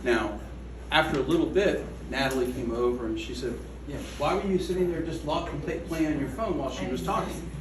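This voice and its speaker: A man reading his own poem aloud at a gallery event, slow and measured, with falling line endings. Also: fairly high pitch, background noise. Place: art gallery room. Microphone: away from the microphone. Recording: echoey room from a distance.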